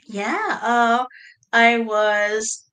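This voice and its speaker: A young woman game designer answering interview questions in an earnest, soft-spoken way. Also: on the high side, extremely slow.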